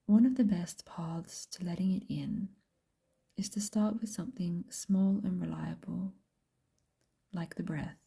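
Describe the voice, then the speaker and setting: A woman guiding a meditation in a soft, breathy whisper, speaking gently and unhurriedly with long pauses. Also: fairly low pitch. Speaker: meditation guide and host. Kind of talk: slow guided meditation, soft whispered speech.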